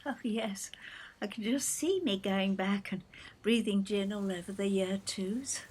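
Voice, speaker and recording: An actress playing a meek, nervy grown-up English daughter in an audio play, apologetic, hesitant and fretful. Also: female voice, mid-range voice, a little slow, darkish tone, lightly acted. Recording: background noise, traffic ambience.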